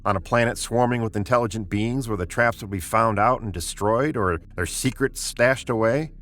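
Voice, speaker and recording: A spaceship captain played by a man with a low voice, in old radio science-fiction style. He is authoritative and deliberate, giving orders briskly and reasoning things through. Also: a bit bright, natural voice. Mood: pondering, intent. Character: male spaceship captain, commanding, pondering, first-person storyteller. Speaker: narrator and lead actor. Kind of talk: 1950s radio drama acting.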